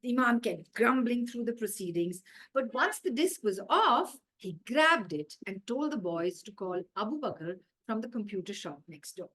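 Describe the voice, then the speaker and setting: Indian woman storyteller, slightly high voice. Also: big pitch swings, normal speed, few pauses, fairly bright tone, breathy edge, poor audio. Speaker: author reading her own story. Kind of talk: expressive children's story read-aloud.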